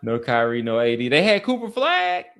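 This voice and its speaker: A man's voice: medium pitch, wildly swooping pitch, normal speed, gravelly, fairly bright tone. A man co-hosting a sports podcast in casual conversation, often repeating words as he builds a point.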